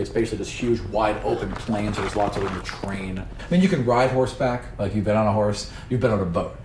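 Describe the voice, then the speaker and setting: A man's voice: fairly low pitch, darkish tone. Roomy, distant-miked man as game master. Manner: conversational, explanatory. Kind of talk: explaining tabletop game world and rules. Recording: roomy, distant mic with faint noise.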